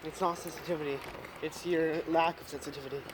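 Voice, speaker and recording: A young man in a car, talking in short, deadpan, teasing remarks from away from the mic. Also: fairly high pitch, fast talker, slight background noise, rough recording. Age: young adult. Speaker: son. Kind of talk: casual chat.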